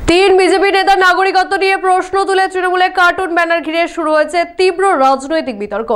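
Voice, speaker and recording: A woman's voice: very high voice, quick pace. A woman reading a scripted news report as a presenter.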